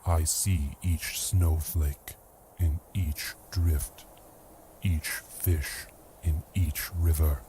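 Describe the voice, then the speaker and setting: A man voicing a mountain-sized ancient giant who speaks in a soft, hushed, solemn voice. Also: a bass voice, fairly even intonation, slight background noise, heavily acted. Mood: serene. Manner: slow, solemn, ponderous.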